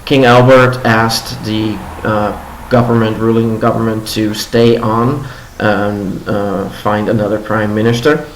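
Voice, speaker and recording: A young man whose first language is Dutch hosts a casual daily news vlog. He talks with many ums and restarts, and his voice sits a little low. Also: little pitch movement, a little hiss or hum. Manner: halting, frequent ums and restarts. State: voice a little low after a concert. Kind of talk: casual news rundown with commentary. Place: home room in Los Angeles. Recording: roomy home recording with faint ambience.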